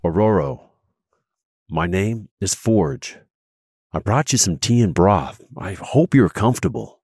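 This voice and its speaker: An actor playing a principled, earnest man with a husky voice in an audio drama. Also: low-pitched, animated, measured pace, a little mellow, dry recording, clean studio sound, heavily acted. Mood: gentle, awkward. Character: principled, earnest adult male inventor, indignant yet caring.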